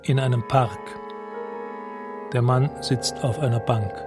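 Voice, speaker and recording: A man's voice: mid-range voice, fairly even intonation, takes it slowly, music in the background. A man narrating a radio drama in a detached, precise, scene-setting way.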